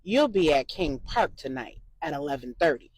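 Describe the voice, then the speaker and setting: Woman storyteller doing a streetwise girl, sly, pointed slang, lively pitch. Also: normal speed, husky, no room sound, exaggerated voice, rough recording. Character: sly streetwise young woman baiting a rival.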